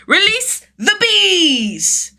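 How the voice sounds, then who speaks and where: A woman's voice: extremely high pitch, very animated, very slow, gravelly, pitched above the performer's own voice, full character voice. A woman voicing a small, earnest boy playing hero in an acted story, dramatic and defiant. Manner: shouting, dramatic.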